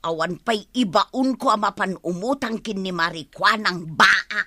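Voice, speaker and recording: A woman's voice: medium pitch, a little slow, sharp tone, no room sound. An actress with an Ilocano accent playing a complaining, stubborn village woman in a radio comedy drama.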